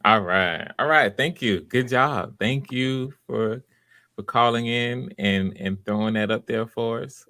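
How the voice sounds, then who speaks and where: A man's voice: mid-range voice, measured pace, pushed and bright, no echo, studio quality. A man hosting auditions and giving encouraging, conversational feedback, often restarting his phrases. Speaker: audition host and director.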